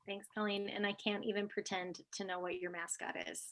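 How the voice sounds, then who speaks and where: A woman's voice: mid-range voice, little pitch movement, brisk, background noise. A woman hosting and moderating a panel conversation, introducing each guest with frequent ums. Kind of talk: warm-up of a video call panel.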